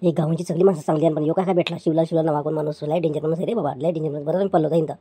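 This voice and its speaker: A woman's voice: deep voice, steady tone, rapid-fire, warm tone, slightly rough. A woman voicing a poor, meek male chair seller who pleads and placates with stammering restarts. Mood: placating.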